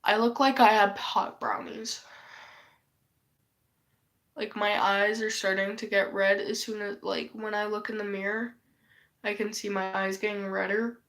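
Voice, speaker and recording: A woman's voice: mid-range voice, level tone, unhurried, pauses often, fairly bright tone. A woman who is losing her voice from a cold, rambling in a casual vlog, sighing often and swearing offhandedly. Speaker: vlogger.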